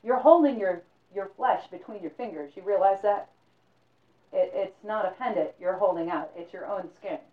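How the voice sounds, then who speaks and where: A woman voicing an excitable old male librarian who questions anxiously and insists. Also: fairly low pitch, moderate pace, hardly any pauses, natural voice. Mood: insistent. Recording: tinny phone-like sound, roomy echo.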